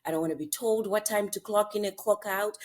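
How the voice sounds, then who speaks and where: A middle-aged woman from Zimbabwe, a psychotherapist and founder, telling her story as a podcast guest in earnest, lively bursts. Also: mid-range voice, a little fast. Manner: earnest storytelling, lively when quoting herself. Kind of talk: conversational interview.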